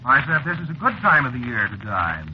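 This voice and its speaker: An actor playing a calm, polite stranger, genial and matter-of-fact about death, in an old-time radio drama. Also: male voice, medium pitch, brisk, close to an everyday voice. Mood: calm, pleasant. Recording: muffled, noisy vintage radio recording.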